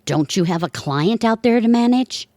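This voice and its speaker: An actress playing a bossy, quick-thinking schemer with a commanding manner in a comedic audio play. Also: female voice, a little high, animated, darkish tone, no echo, studio quality, close to an everyday voice. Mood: bossy, urgent. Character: bossy, quick-thinking woman organizing a caper. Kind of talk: comedic audio theatre acting.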